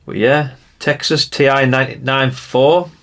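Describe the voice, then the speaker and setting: A man's voice: mid-range voice, fairly even intonation, a little slow, a bit bright. A man, giving casual, nostalgic commentary in a rambling, chatty way, musing aloud to the viewer. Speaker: retro collector presenting a video.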